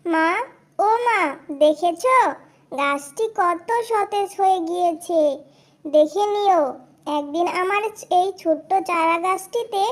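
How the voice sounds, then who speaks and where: A woman's voice: extremely high pitch, slow. A woman voicing an eager, tender-hearted little girl animal in a cartoon fairy tale, earnest and pleading. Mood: earnest, hopeful.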